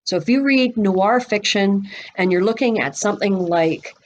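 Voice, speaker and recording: A woman's voice: medium pitch, normal speed, muffled recording, a little hiss or hum. Woman explaining conversationally, expansive and informed. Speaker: guest expert on a book podcast. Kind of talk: conversational explanation of literary history.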